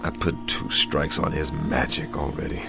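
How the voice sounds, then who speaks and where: An actor playing a proud, bitter, aging boxer in a radio drama, talking in street-tough slang. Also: male voice, very deep voice, little pitch movement, moderate pace, creaky. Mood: weary, bitter. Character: proud, bitter over-the-hill male boxer named Bolie Jackson. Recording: studio radio play with music underneath.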